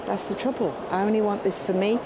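A woman with an English accent talking to herself in an excitable, exclamatory way, as in a spontaneous audio diary recorded out and about. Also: mid-range voice, quick pace. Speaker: performance artist narrating her own outing. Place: outdoors, in a moving car and shops. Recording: distant mic, loud car and street noise. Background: loud traffic and vehicle ambience.

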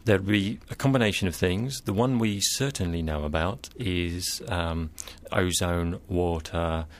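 A man as a scientist guest, explaining carefully and in a measured way in an interview. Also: deep voice.

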